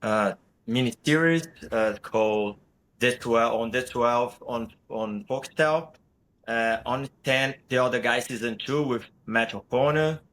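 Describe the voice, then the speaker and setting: A man's voice: mid-range voice, unhurried, fairly bright tone, slight background noise, poor audio. Brazilian-accented man, hesitant speech. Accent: Brazilian Portuguese. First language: Portuguese. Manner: hesitant, many restarts. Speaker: actor guest. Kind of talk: casual interview.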